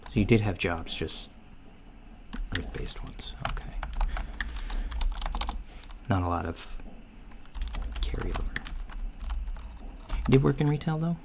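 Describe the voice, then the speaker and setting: Man as an easygoing alien office interviewer, ASMR roleplay, slow halting delivery, low gravelly voice, matter-of-fact, keyboard clicks. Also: very animated, exaggerated voice. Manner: hesitant, mumbling, matter-of-fact. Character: laid-back male alien job interviewer, casually bureaucratic. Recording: faint noise, lo-fi ASMR, keyboard sounds. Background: computer keyboard typing and clicks.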